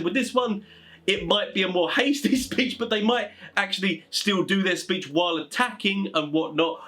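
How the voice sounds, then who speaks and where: A man's voice: sits high, sharp tone. A man talking informally as a host giving tabletop game advice, in a chatty, rambling, enthusiastic way.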